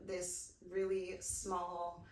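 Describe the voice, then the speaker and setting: A woman's voice: lowish voice, monotone, very slow, darkish tone, slight background noise. Playful young American woman, roomy sound. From United States. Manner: playful, laughs often, talks to listeners as y'all. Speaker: podcast co-host. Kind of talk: casual chat. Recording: roomy sound from a distant mic.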